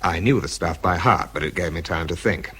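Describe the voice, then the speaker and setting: Low, husky, wry narrator. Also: male voice, a little fast, a bit bright. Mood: dry recounting. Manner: wry, dry, understated. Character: dry, wry young scientific aide narrating. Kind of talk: first-person radio drama narration.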